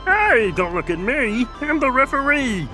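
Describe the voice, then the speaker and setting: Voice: high voice, sing-song, measured pace, music in the background. An actor voicing an eager, bossy young referee in an anime game, explaining like an announcer. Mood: defensive. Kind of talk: anime game cutscene acting.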